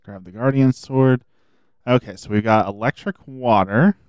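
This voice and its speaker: A man streaming a video game, chatting casually and thinking aloud as he plays, his voice a little hoarse. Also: mid-range voice, moderate pace, a little mellow, dry recording. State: slightly hoarse. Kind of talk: casual gameplay commentary.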